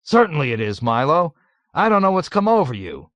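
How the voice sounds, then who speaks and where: A man voicing a jovial, nervous middle-aged colonel who gushes loudly and is eager to please. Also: fairly high pitch, very animated, normal speed, no room sound, voice pushed up. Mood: gushing. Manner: loud, gushing, eager to please.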